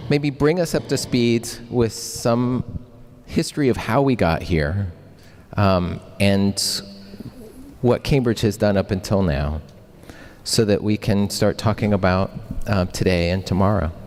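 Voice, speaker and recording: A man with a very deep voice introduces panelists in a brisk, friendly way. His words carry a long reverb from a large hall. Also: a little mellow. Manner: brisk, friendly, reads bios fluently. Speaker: moderator. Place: public hall.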